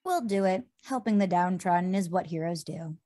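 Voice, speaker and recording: A woman playing an earnest, pure-hearted young hero in an animated fantasy table read. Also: fairly low pitch, normal speed, natural voice.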